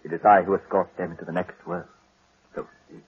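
An actor playing a pompous, unctuous undertaker, solemn and formal, in 1940s radio style. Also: male voice, deep voice, little pitch movement, fast, slightly rough, narrow, tinny audio. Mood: affronted.

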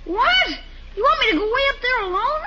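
An actor playing a cheeky young schoolboy nephew who whines and wheedles in 1940s radio comedy style. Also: male voice, very high voice, lively intonation, brisk. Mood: pleading. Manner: whining, wheedling. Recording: muffled, noisy 1940s radio recording.

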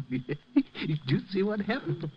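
An actor playing a weary, sly old immigrant father with halting, emphatic, foreign-accented speech in a 1950s radio drama. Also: high-pitched, very animated, lo-fi sound, slight background noise, exaggerated voice. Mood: sly, gleeful. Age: older. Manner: rambling, emphatic, repeats words, prodding questions.

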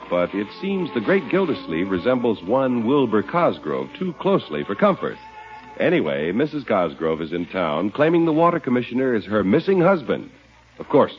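An American man announcing on 1950s radio, delivering scripted sponsor pitches and story introductions. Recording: muffled old radio recording with music.

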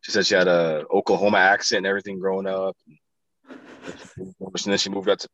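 A man's voice: low-pitched, flat delivery, fairly quick, a bit bright, dry recording, muffled recording. A laid-back man chatting casually, rambling through family stories and laughing often. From South Dakota. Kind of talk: casual chat.

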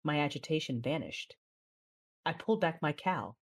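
A low-pitched female voice carries this sci-fi audio drama. She is a resolute, battle-hardened warrior recounting her own story. The delivery is measured and dramatic, like a memoir told aloud. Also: expressive. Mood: solemn, tender. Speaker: narrator and lead character. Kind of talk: first-person dramatic narration in an audio drama.

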